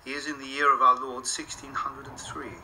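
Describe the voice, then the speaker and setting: Haughty, menacing sorcerer. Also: male voice, mid-range voice, level tone, fairly quick, pushed and bright, rough recording. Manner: imperious, scornful. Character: haughty, menacing male sorcerer leading a coven. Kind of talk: radio drama acting. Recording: radio drama with clear background atmosphere noise.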